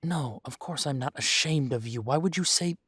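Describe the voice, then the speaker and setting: A man playing a conflicted, weary werewolf talking to a mate in an intimate roleplay audio drama, halting and exasperated. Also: medium pitch, a bit bright, slightly rough, no room sound, clean studio sound. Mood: pleading, tender. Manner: exasperated, halting, sighing delivery. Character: weary, conflicted male werewolf arguing tenderly with his mate.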